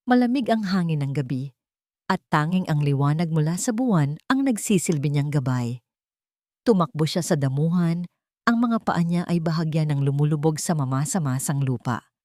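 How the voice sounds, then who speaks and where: A woman storyteller delivers scripted dramatic narration. Her voice sits a little low for a woman and moves with lively, expressive intonation. The sound is dry, with no room to it. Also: no echo.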